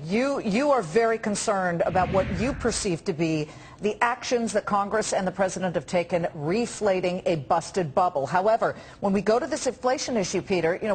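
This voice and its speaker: A woman's voice: medium pitch, darkish tone, poor audio. Woman news anchor, faint studio noise. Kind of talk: live news interview. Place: indoor TV studio.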